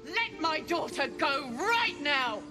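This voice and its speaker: A woman voicing a fierce, desperate mother in a video game, shouting threats in distress. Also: sits high, big pitch swings, slow pace, music in the background, heavily acted. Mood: desperate fury.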